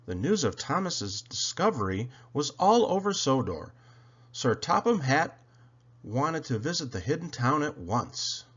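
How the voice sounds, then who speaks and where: A man narrates a children's picture book as a read-aloud. His delivery is expressive and animated, with pitch that moves widely from phrase to phrase. The recording sounds somewhat dull and lo-fi. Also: husky. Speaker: storybook reader.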